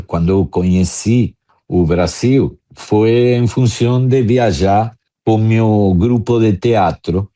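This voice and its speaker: A man from Argentina with an Argentine accent, speaking Portuguese as a second language, telling his career story slowly with many hesitations in an interview. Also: fairly low pitch, mellow. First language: Spanish. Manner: slow, many hesitant fillers. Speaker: guest, drum importer.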